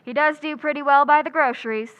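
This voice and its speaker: A woman's voice: high voice, steady tone, fast talker, no room sound, muffled recording, lightly acted. A woman playing a wry, lovelorn, wisecracking schoolteacher in an old-time radio comedy. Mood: wry, brisk. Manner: dry wisecracking delivery. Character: wry, quick-witted single schoolteacher woman, lovelorn and sardonic. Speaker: lead actress.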